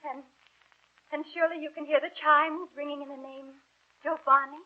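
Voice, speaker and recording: A gentle, beguiling young maiden played by a woman in a 1940s radio play. The voice is high and soft, gracious and a little dreamy. The old recording sounds muffled. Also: little pitch movement, moderate pace, natural voice. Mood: playful, tender.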